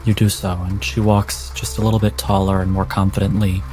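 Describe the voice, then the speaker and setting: A man's voice: lowish voice, little pitch movement, a little fast, mellow, faint music behind. A man narrating a tabletop game as the game master, in an improvised storytelling style.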